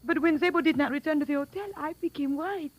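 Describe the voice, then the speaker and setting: A woman's voice: sits high, fast, close to an everyday voice. A woman playing an anxious, guarded foreign fugitive in an old radio drama, in hesitant, formal English with nervous repetitions. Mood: anxious, confessing. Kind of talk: 1940s-50s radio drama acting.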